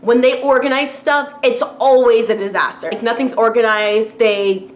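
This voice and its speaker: A woman's voice: on the high side, steady tone, moderate pace. A woman vlogging a casual rant to camera, talking loosely with many fillers and little laughs, and growing exasperated as she goes on. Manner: chatty, frequent fillers and laughs, rising exasperation.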